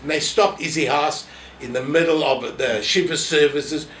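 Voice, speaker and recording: A man's voice: fairly high pitch, normal speed, fairly bright tone. An elderly man with a South African accent, reminiscing in an interview and telling anecdotes in which he re-enacts what people said. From South Africa, family from Poland. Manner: anecdotal, re-enacting quoted remarks. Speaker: interviewee, former synagogue office holder. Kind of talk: reminiscing in an oral history interview. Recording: roomy echo, distant mic, faint noise.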